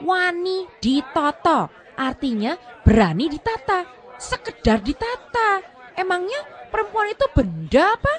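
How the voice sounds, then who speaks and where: A woman hosting a talk show, speaking in a lively, expressive way. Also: very high voice, a little slow. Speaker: host. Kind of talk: lively talk-show banter and closing narration.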